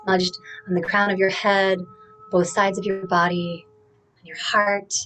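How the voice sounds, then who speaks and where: A woman gives a guided, meditative talk on spiritual practice. Her delivery is gentle and deliberate, set out in short phrases with pauses between them. Her pitch sits in the middle range for a woman. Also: level tone, over music, rough recording. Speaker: guest speaker and guide.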